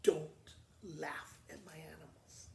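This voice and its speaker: A woman voicing a kind, gentle little old farm woman in a storybook, coaxing and pleading. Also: low-pitched, level tone, takes it slowly, creaky, voice pushed down, full character voice. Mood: kindly, coaxing. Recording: roomy echo with faint background noise.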